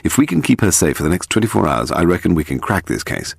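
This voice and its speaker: A man's voice: low-pitched, sing-song, fast, gravelly, husky, dry recording, clean studio sound, full character voice. A man voicing a composed, authoritative male detective who reasons aloud with assurance in an audiobook mystery. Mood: confident.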